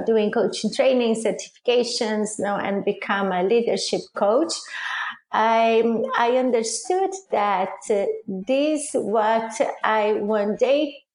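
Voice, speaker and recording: A middle-aged woman from Brazil with a Brazilian accent, answering interview questions haltingly as she searches for words. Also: mid-range voice. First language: Portuguese. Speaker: podcast guest, leadership coach. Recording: roomy, echoing sound.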